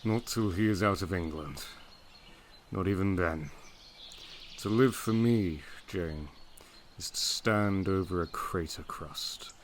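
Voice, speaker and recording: An actor playing a brooding, commanding master of a gothic manor in a period audio drama, intense and imperious in manner. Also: male voice, low-pitched, slow, slight background noise. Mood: grim, guarded.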